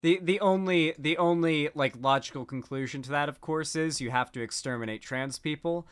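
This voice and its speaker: A man's voice: on the high side, moderate pace, some chatter behind, a little hiss or hum. A man giving casual political commentary, rambling with frequent restarts.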